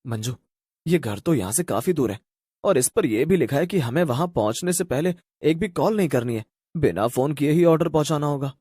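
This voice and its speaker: A man's voice: a little high, animated, brisk, warm tone, husky, no echo, clean studio sound. A man playing a worried young husband in a cartoon story, talking earnestly. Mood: puzzled. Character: worried young husband working at a pizza shop. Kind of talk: animated cartoon story voice acting.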